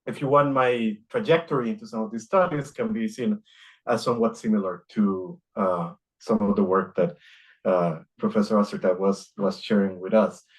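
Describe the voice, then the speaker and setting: A middle-aged man from Costa Rica giving an academic lecture, reading from notes in a measured way with frequent "uh" fillers. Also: lowish voice, steady tone, poor audio. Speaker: guest lecturer.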